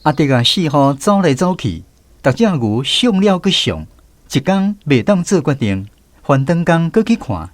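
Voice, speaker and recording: A man's voice: a little high, sing-song, normal speed, dry recording. A man with a Taiwanese accent narrating a scripted radio drama as a storyteller.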